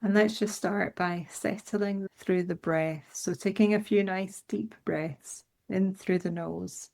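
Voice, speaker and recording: A woman leading a guided meditation in a soothing, unhurried manner with gentle instructions. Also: mid-range voice, steady tone, creaky.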